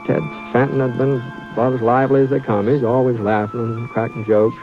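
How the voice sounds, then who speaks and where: A man doing an easygoing ranch hand who tells the tale in a vintage radio western. He talks in a gentle, folksy drawl. Music plays clearly behind him on the muffled old recording. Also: medium pitch, flat delivery, fairly quick, natural voice. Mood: reflective narration. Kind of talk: 1950s radio drama narration.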